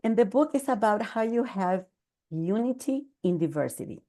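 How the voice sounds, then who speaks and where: Older Salvadoran woman with a mellow voice. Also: medium pitch, expressive. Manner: measured, earnest storytelling with fillers. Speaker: podcast guest, author. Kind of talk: conversational interview.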